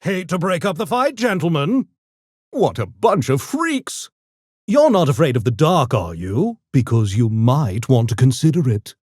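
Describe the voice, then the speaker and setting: An actor voicing a smug, erudite genius gremlin for a video game, with pompous, mock-genteel, theatrical diction that tips into gleeful mania. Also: male voice, a little high, very animated, a little slow, darkish tone, no echo, clean studio sound. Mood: smug, taunting. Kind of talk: video game character voice lines.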